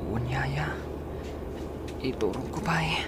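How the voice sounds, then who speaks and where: A man's voice: mid-range voice, slow, heavy background noise, lightly acted. A man with a Filipino accent playing a sick, dizzy young son in a radio drama, speaking in weak, pleading groans. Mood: weak, ailing.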